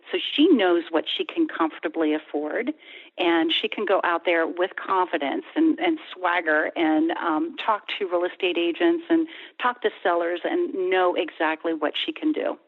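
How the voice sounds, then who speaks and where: A woman guest on a radio show, explaining steps in a steady, informative way. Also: on the low side. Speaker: bank executive guest. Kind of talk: radio interview over the phone. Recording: tinny phone line.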